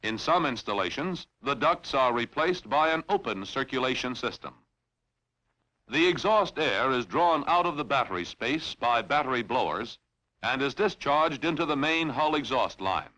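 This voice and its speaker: A man reading scripted instructional narration in a formal, measured, clearly enunciated way, as in a training film. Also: medium pitch, animated, pushed and bright, poor audio.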